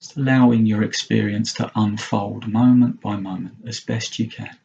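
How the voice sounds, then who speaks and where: A man leading a guided mindfulness meditation as a teacher, speaking gently and unhurriedly in short phrases with long pauses. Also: fairly low pitch, fairly even intonation, gravelly, husky, lo-fi sound.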